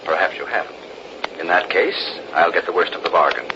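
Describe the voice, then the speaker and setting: An actor playing a confident, determined investigator in an American radio drama, speaking formally and deliberately with an American accent on a dull, noisy old recording. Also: male voice, low voice, expressive. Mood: firm bargaining. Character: determined, confident one-man grand jury investigator, father.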